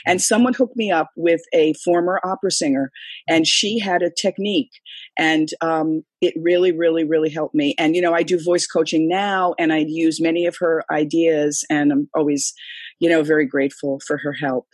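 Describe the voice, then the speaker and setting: An older woman tells stories from her career in a husky, slightly breathy voice. She talks in a loose, chatty way, circling back with fillers and restarts. The sound is dry and close, with no room around it. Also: deep voice, fairly even intonation, normal speed, no echo. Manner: chatty, rambling storyteller with many fillers. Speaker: singer and guest. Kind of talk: casual interview conversation. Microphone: remote call, computer.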